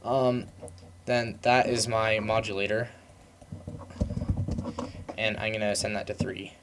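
A young man giving a casual, unscripted software tutorial, rambling and trailing off mid-thought in an informal way. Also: fairly low pitch, steady tone, takes it slowly, background noise.

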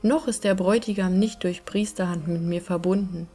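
A woman voicing a remorseful young princess bride in a fairytale, tender, breathy and pleading. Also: lowish voice, fairly even intonation, normal speed, mellow.

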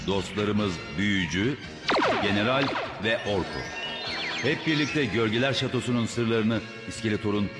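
A man plays a heroic young cartoon prince. His fairly low voice is friendly and assured, with music clearly playing underneath. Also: normal speed, lightly acted. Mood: proud. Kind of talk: dubbed cartoon hero narration and dialogue.

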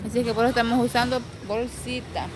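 A Salvadoran woman talks viewers through a street stall in a chatty, explaining way, her voice sitting a little high. She is filmed outdoors on a phone, with loud traffic and street noise all around her. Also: level tone, fast talker. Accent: Salvadoran Spanish. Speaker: vlogger filming a street vendor. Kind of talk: casual narration while filming.

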